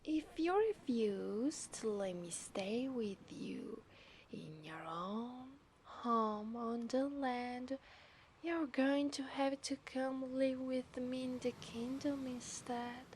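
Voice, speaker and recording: A woman's voice: on the high side, a little mellow, a little hiss or hum. A woman with an Italian accent playing an obsessive, seductive mermaid in a teasing ASMR roleplay, sometimes slipping into whispers. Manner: slow, teasing, lapsing into whispers.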